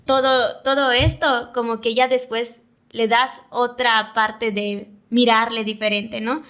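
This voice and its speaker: Woman, young adult, Maya speaker, hesitant, reflective, fairly high pitch. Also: level tone, takes it slowly, a bit bright. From Mayapán, Yucatán, Mexico. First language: Yucatec Maya. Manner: reflective, hesitant, many fillers. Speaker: guest, communicator. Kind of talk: casual interview conversation.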